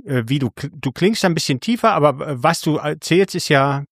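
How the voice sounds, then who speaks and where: A man's voice: fairly high pitch, animated, a little fast, no echo, clean studio sound. A man co-hosting a casual podcast, who rambles and corrects himself mid-sentence. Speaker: podcast co-host. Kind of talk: casual chat.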